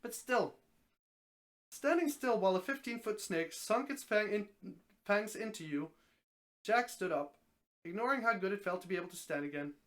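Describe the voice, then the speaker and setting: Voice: mid-range voice, animated, normal speed, pauses often, fairly bright tone. Reader narrating a story, stumbling now and then. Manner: stumbles over words and rereads phrases. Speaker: streamer reading a story aloud. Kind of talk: live dramatic reading of a story.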